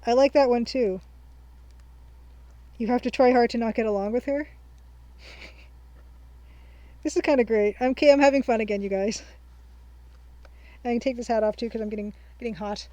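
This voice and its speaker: A young woman hosting a game playthrough, her voice sitting a little high as she chats casually. She thinks aloud and reads her notes back slowly. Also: dark tone, dry recording. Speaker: let's-play host. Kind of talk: casual let's-play commentary.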